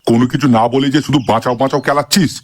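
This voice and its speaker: Man as a fast-talking exasperated cartoon brother-in-law. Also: medium pitch, steady tone, close to an everyday voice. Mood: exasperated, suspicious. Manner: scolding, sarcastic, crude. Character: exasperated, foul-mouthed middle-aged brother-in-law. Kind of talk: comic cartoon dialogue.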